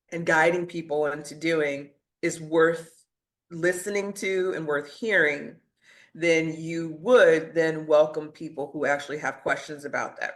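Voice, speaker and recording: A man's voice: on the high side, a bit bright. A man speaking as a physician guest in a podcast discussion, emphatic and pressing rhetorical questions, with laughs between points.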